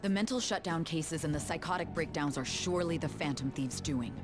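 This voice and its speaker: A woman doing a cold, low-voiced prosecutor. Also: brisk, close to an everyday voice. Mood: stern. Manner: cold, clipped, determined. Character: stern ambitious adult female prosecutor. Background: game music.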